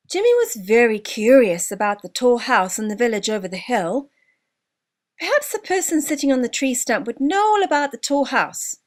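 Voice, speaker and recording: A woman narrating a children's story from a script, with expressive delivery and deliberate emphasis. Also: sits high.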